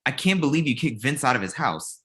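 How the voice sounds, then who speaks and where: Blunt, exasperated male talent manager, played by an actor, medium pitch with very animated delivery, comedy script. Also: fairly quick, lightly acted. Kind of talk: comedy table read.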